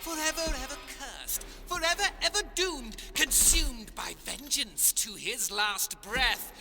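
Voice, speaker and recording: An actor playing a gleeful, taunting messenger god in a video game, mocking in a theatrical, singsong jeer. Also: male voice, very high voice, animated, gravelly, full character voice. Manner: mocking, singsong, drawn-out jeering. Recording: long reverb, music behind. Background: music.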